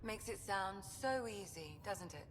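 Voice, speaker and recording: An actress playing a brisk, reassuring ship's doctor in a video game, speaking in a matter-of-fact way. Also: female voice, mid-range voice, lively intonation, big-room sound, slight background noise, lightly acted. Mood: businesslike.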